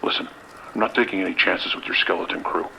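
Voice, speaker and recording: Man acting as very deep, commanding police lieutenant. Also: steady tone, creaky, sharp tone, full character voice, low-quality recording. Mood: decisive. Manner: brisk, authoritative, dismissive. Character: male police lieutenant, commanding, skeptical, decisive superior. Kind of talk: audio drama acting. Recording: rain and radio noise behind.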